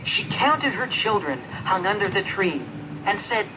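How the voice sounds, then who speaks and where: A storyteller narrating a rhyming children's story in an expressive, measured storybook delivery. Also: mid-range voice, noisy background. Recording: loud music under the voice. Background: music.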